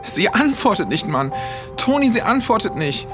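An impatient young boy, played by a male actor, in a children's radio play. His high voice leaps about with desperation over prominent music. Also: sing-song, normal speed. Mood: desperate. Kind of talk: children's audio drama acting.

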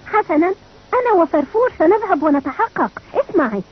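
An actress playing a kind, resourceful youngster who looks after friends in a dubbed cartoon. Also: female voice, very high-pitched, very animated, a little fast. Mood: caring. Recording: muffled old cartoon dub.

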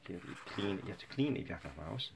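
A man with a very deep voice coaches a young reader as a father would. He is patient and encouraging, prompting and repeating single words. The home recording has clear background noise. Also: lively intonation, very fast. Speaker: father coaching a child's reading. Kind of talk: patient one-to-one reading lesson.

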